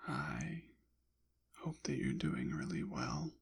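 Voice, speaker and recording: Slow, creaky soft-spoken man, partly whispering. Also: rumbling low, wildly swooping pitch, a little hiss or hum. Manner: hesitant, halting, apologetic. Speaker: ASMR channel creator.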